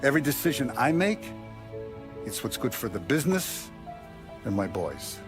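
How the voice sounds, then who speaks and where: An actor playing a calm, authoritative mafia boss who lays down rules in a measured, lecturing way, in a game cutscene. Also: male voice, medium pitch, natural voice. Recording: faint music behind.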